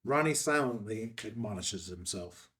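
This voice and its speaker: A man's voice: lowish voice, animated, normal speed, fairly bright tone, recorded from a distance. A man reading screenplay stage directions as scripted narration. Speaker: stage directions reader at a table read.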